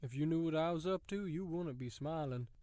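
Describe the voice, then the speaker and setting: A man acting a smug, high-pitched sinister prison master. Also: fairly quick, mellow, no room sound, studio quality, lightly acted. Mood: quiet menace. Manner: mock-pleasant, theatrical, formal. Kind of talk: audio drama acting.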